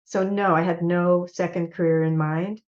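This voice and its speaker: Older lady on a webcam call, lively storyteller, muffled lo-fi audio. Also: fairly low pitch, moderate pace. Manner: animated storyteller, acts out quotes. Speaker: guest, clothing entrepreneur. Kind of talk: casual storytelling. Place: home office, indoors. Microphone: video call webcam. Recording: dull lo-fi video call audio.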